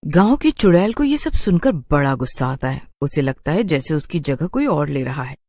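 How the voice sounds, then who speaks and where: A woman's voice: low-pitched, sing-song, moderate pace, warm tone, dry recording. A woman narrating a scripted horror story for a cartoon in storybook style.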